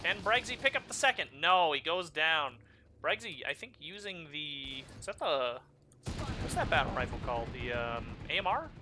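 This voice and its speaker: A man whose native language is German, giving excitable live play-by-play commentary on a video game match. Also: a little high, normal speed, background noise. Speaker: esports commentator.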